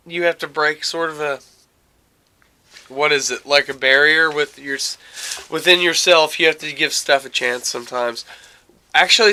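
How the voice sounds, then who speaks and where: A man hosting a casual, rambling podcast chat, haltingly searching for words and often repeating his phrases. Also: on the high side, sharp tone, clean tone. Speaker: podcast host.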